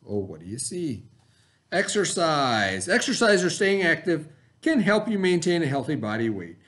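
A middle-aged American man, an elementary teacher, reading a lesson aloud to children in an expressive, instructional manner. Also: a little high. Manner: expressive, instructional, pauses to ask the listener questions. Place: small indoor classroom, at a desk.

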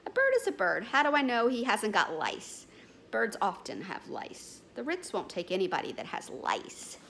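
A woman's voice: mid-range voice, huge pitch swings, normal speed, creaky, a bit bright, a little hiss or hum, heavily acted. A woman voicing a fussy, prim male hotel desk clerk in a storybook reading.

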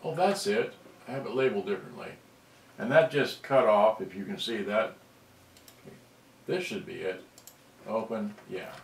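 A man's voice: lowish voice, moderate pace, gravelly, pauses often, slight background noise. Rambling man in an echoey room, distant mic. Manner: rambling, muttering to himself while searching. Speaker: vintage electronics hobbyist presenter. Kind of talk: casual off-the-cuff show-and-tell narration. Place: indoors in a room at a computer screen. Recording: roomy echo, distant mic, music behind.

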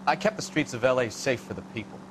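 An actor playing a cynical, needling American veteran traffic cop in a video game cutscene, sardonic and wisecracking. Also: male voice, medium pitch, quick pace, lightly acted. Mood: defensive. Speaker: police detective.

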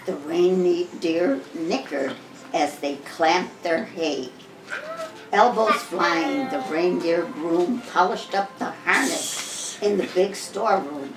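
An American woman in her eighties reads a Christmas poem aloud to family, measured and expressive, with the odd stumble. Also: lowish voice. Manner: measured, expressive storybook reading with occasional stumbles. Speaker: grandmother reading to family. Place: living room at home. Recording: roomy home recording with music behind.

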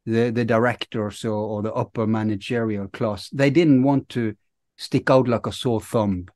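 A man in his thirties co-hosting a podcast, talking with halting restarts on a video call. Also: fairly low pitch, steady tone, darkish tone, dry recording, clean studio sound. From Norway. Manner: hesitant, repeats words. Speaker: podcast co-host. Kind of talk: casual conversation. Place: home study with bookshelves, video call. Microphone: headphones and studio mic.

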